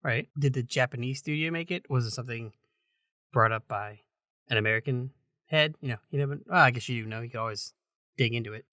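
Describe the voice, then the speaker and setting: A man's voice: mid-range voice, fast talker, pushed and bright, dry recording, clean studio sound. A man co-hosting a gaming podcast, chatting casually and often chuckling as he talks. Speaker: podcast co-host.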